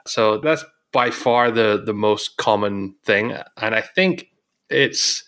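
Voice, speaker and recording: Bright-voiced man explaining on a podcast. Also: lowish voice, slow. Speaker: podcast co-host. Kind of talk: casual podcast chat.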